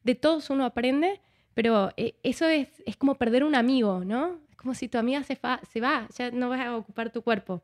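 A woman with a fairly high-pitched voice. She talks in a reflective, conversational way as an interview guest, expressively slipping into bits of imagined dialogue. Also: fairly quick. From Argentina.

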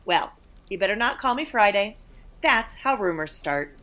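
An actress playing a sassy, indignant office worker, snapping back in a 1940s-style radio comedy. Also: female voice, medium pitch, lively intonation, normal speed, fairly bright tone, natural voice.

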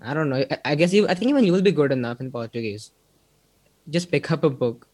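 Young man, Indian English accent, guest on a video-call interview; easygoing, filler-heavy, slightly high voice. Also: lively intonation, fast, a little mellow, no room sound. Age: young adult. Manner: rambling, many fillers like "like" and "um". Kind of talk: casual interview chat.

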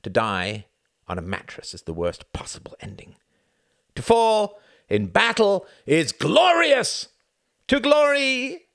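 A man's voice: fairly low pitch, very animated, normal speed, vocal fry, no echo, studio quality. A man voicing a boisterous, bloodthirsty German drinker rousing his table. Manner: boastful, rousing.

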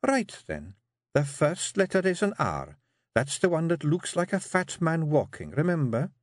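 Brief: high, lively pixie matriarch, sly and brisk, voiced by a man. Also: dry recording, clean studio sound. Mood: encouraging. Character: sharp clever female pixie clan matriarch. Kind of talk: audiobook character voice.

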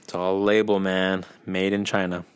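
Deep-voiced American man in casual conversation. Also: flat delivery, normal speed, smooth. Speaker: podcast co-host. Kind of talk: casual chat.

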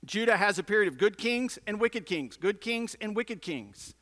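A man's voice: high voice, expressive, normal speed, a bit bright. A man preaching a teaching sermon as a pastor, in a conversational style with emphatic repetition and rhetorical questions. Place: large indoor room, preaching to a congregation. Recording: reverberant large room sound.

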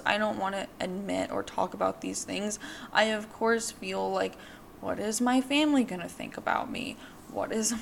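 A woman's voice: mid-range voice, creaky, a little hiss or hum. A woman in her twenties hosting a podcast, telling her own story in a candid, rambling way with many fillers. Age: 20s. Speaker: podcast host. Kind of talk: personal monologue.

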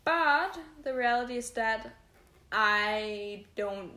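A woman's voice: fairly high pitch, lively intonation, slow, sharp tone. A young woman co-hosting a podcast in casual chat, hesitant and self-deprecating. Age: young adult. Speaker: podcast co-host. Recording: echoey room.

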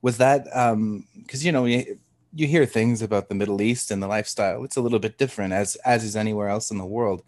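A man's voice: fairly low pitch, fast, a little mellow. A man hosting an interview podcast, asking questions in a friendly, curious way. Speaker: podcast host. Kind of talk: casual interview.

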